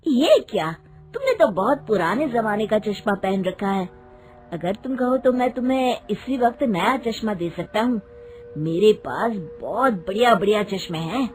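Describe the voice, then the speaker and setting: A woman's voice: mid-range voice, animated, moderate pace, close to an everyday voice. A woman voicing a confident village spectacle seller, a man, in a cartoon story with a persuasive, reassuring manner. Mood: coaxing.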